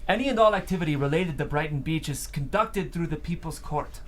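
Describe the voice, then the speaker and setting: A man's voice: on the high side, expressive, fairly quick, a bit bright. Man playing stern older Russian émigré boss. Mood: stern, measured. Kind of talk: script table read acting.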